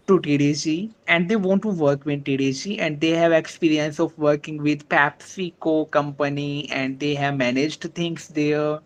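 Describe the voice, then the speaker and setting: Casting note: man on a video call whose first language is Hindi, halting and deferential, slow delivery. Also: a little high, lo-fi sound. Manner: hesitant, many fillers, polite. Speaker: meeting organizer. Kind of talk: online meeting discussion.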